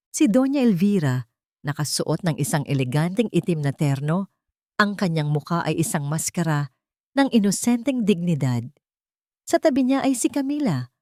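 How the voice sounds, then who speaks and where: A woman's voice: fairly low pitch, darkish tone, no echo, clean studio sound. A woman reading a scripted first-person story in a dramatic, solemn manner. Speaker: narrator.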